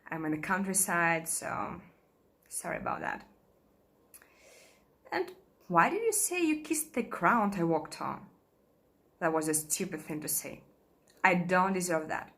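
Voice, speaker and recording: A woman performing a modern theatrical monologue as a fragile, exhausted young actress, halting and emotional, wavering between despair and faith, sometimes drifting into whispers. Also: fairly low pitch, fairly bright tone, full character voice. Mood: apologetic, nervous, then self-loathing, exhausted. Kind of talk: modern theatrical monologue played as a video call.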